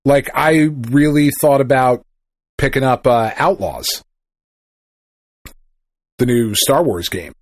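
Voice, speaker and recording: A man's voice: mid-range voice, animated, slow pace, pauses often, no echo. A man co-hosting a casual podcast chat, easygoing and teasing. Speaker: podcast co-host.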